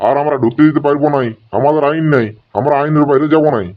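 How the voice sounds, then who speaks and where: Cartoon exam gatekeeper, played by a man: stern and officious, mid-pitched, very quick scolding in a Purulia Bengali accent, dull recording. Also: steady tone, slight background noise, lightly acted. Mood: stubborn, challenging. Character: stern, rule-bound male exam gatekeeper. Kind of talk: comic cartoon dialogue.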